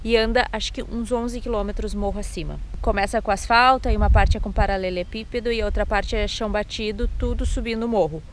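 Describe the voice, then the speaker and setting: A woman's voice: medium pitch, fast talker, hardly any pauses, a little hiss or hum. A woman, chatting casually on a travel vlog from a handheld camera at arm's length. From southern Brazil. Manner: chatty, relaxed, self-mocking. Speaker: vlogger. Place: outdoors by a country road and in a car. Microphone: handheld action camera at arm's length.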